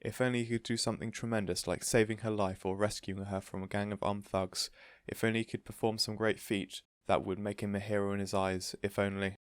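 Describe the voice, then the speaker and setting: A man playing a shy, lovestruck gentleman who speaks politely and earnestly in a storybook reading. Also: fairly low pitch, little pitch movement, brisk, clear, resonant tone, dry recording, studio quality, natural voice. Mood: longing. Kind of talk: storybook character voice in audiobook reading.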